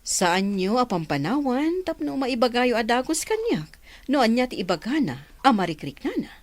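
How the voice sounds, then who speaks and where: An actress playing a calm, reassuring female doctor in a radio drama. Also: a little high, normal speed, dry recording.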